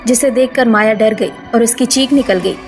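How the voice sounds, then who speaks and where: A woman's voice: on the high side, normal speed, darkish tone. A woman narrator reading a scripted cartoon story.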